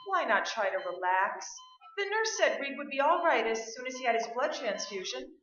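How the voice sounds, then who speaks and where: Roomy stage sound: woman acting no-nonsense, practical drama heroine, bright and emphatic. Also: sits high, very animated, normal speed, faint music behind. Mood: calming. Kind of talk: staged radio play acting. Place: indoor stage, live performance. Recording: roomy, dull stage recording.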